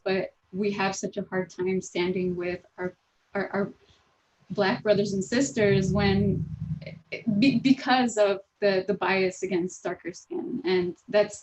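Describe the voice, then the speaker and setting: A woman speaking earnestly and deliberately, with pauses, in a casual panel discussion. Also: mid-range voice, darkish tone. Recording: dull lo-fi audio.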